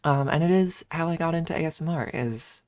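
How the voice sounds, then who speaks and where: A woman's voice: low-pitched, brisk, a little mellow, no room sound. A woman streamer chatting casually on an ASMR stream, rambling and candid as she explains things, close to the microphone. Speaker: livestream host and ASMR streamer.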